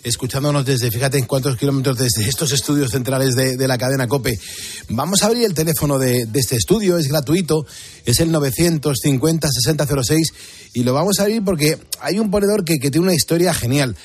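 A man with an accent from Spain hosts a live radio show in a husky, slightly rough voice. He chats easily with callers and is openly encouraging, at an ordinary pitch. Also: a little fast, a little mellow. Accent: Spanish, from Spain. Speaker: radio host.

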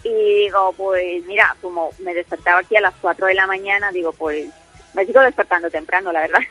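A woman's voice: lowish voice, steady tone, fairly quick, a bit bright, faint music behind. A middle-aged Colombian-born woman with a Spain Spanish accent, chatting as a caller, talkative with many fillers and restarts. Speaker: listener caller. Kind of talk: casual phone chat. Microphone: phone line. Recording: dull lo-fi phone line.

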